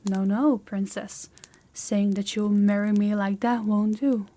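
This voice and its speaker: A woman playing a haughty captor princess in an audio roleplay, speaking in a measured, formal, condescending way straight to the listener. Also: mid-range voice, fairly even intonation, dark tone, close to an everyday voice. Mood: firm, composed. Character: haughty captor princess, commanding yet awkward and dutiful.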